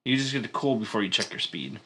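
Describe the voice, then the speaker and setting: A man's voice: fairly low pitch, animated, fast, fairly bright tone, a little hiss or hum. A man in his late thirties co-hosting a live board game stream, counting out moves and patiently explaining the rules in casual chat. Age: 30s. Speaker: co-host playing a board game.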